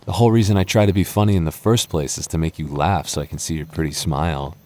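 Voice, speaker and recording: Man acting as a deep-voiced, protective goth boyfriend. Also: fairly even intonation, darkish tone, close to an everyday voice. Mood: playful, teasing. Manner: stammering, halting, earnest delivery. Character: young goth boyfriend, protective, playful, easily flustered. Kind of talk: intimate boyfriend ASMR roleplay acting.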